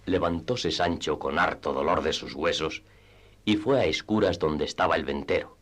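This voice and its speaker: A man's voice: very deep voice, moderate pace, a bit bright. A man narrating a classic literary tale for radio theatre in a scripted storytelling style.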